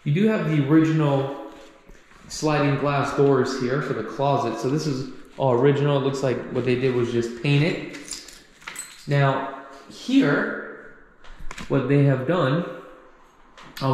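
A man's voice: medium pitch, a little mellow. A man giving a casual walkthrough commentary as a real estate agent, recorded on a handheld camera in empty rooms. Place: empty condo rooms and outdoor walkway. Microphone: handheld action camera. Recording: echoey empty rooms, some background noise.

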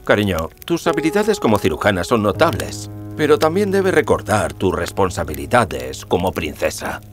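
A man voicing an older king, a concerned father who gently admonishes, in a cartoon fairy tale. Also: lowish voice, huge pitch swings, normal speed, faint music behind. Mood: reproving.

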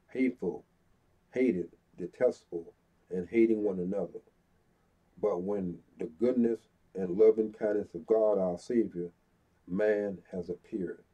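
A middle-aged man with a stuffy nose, slowly reading scripture aloud as a Bible teacher, pausing between phrases. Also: lowish voice, dark tone, husky. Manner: slow, deliberate reading with pauses, stuffy-nosed. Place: small room before a brick wall. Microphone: fixed camera, head and shoulders. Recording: dull lo-fi sound.

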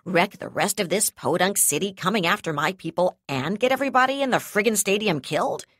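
A woman reading the lines of a ruthless, foul-mouthed female brigadier in an audiobook, snarling and sneering loudly. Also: medium pitch, animated, brisk, no echo, clean studio sound, voice pushed up. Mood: snarling threats. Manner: snarling, sneering, loud threats.

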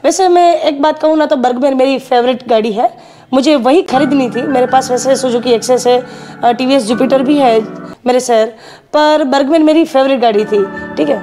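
A woman's voice: high voice, normal speed, over music. A woman in her thirties, a customer giving a testimonial in casual interview answers. She praises enthusiastically and repeats herself, with hesitant fillers, on a lapel mic in an indoor shop office.

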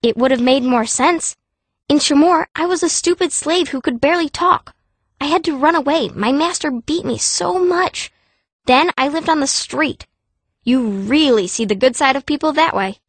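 A woman's voice: high voice, normal speed, no echo. A woman voicing a fierce, cranky young girl mage, blunt and grumbling, in a first-person fantasy audiobook. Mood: bitter, insistent.

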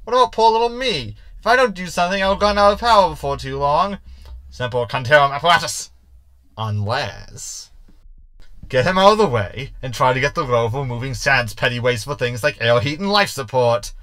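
A man's voice: medium pitch, animated, normal speed, bright, forceful tone, no echo. A man playing a deranged ship's hologram in a sci-fi comedy audio drama, theatrical, pompous and scornful, dropping mock Latin. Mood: scornful, self-pitying. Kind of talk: science fiction comedy audio drama acting.

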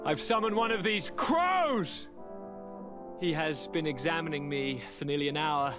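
A nervy, vulnerable young aristocrat in a fantasy video game. His fairly high voice swings widely and dramatically, with music underneath. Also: lively intonation, unhurried. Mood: flippant, jittery. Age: young adult. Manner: dramatic, highly emotional. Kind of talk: scripted video game acting.

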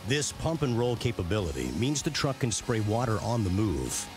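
A man's voice: on the low side, sing-song, moderate pace. Man narrating documentary over prominent music. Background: prominent background music.

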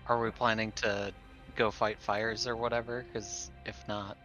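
A man's voice: fairly low pitch, flat delivery, unhurried, sharp tone, soft background music. A man playing a tabletop game, casually talking through plans on a dull recording.

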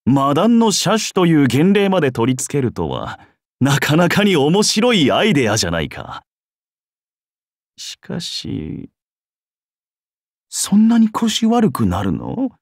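Young genius villain type for a game, wry, showy, pitch all over the place. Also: male voice, huge pitch swings, slow pace, pauses often, no room sound, studio quality, exaggerated voice. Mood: bemused. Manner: theatrical, wry. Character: cocky, theatrical young male genius schemer. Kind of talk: game character acting.

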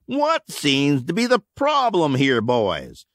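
A man voicing a smooth-talking male official who speaks slowly, calmly and grandly. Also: high voice, no echo, clean studio sound, voice pushed up, exaggerated voice. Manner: slow, calm, speechifying.

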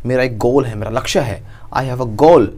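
A man's voice: medium pitch, moderate pace, darkish tone. A man in his thirties teaching a spoken English lesson, acting out little everyday scenes as he goes. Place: dark studio.

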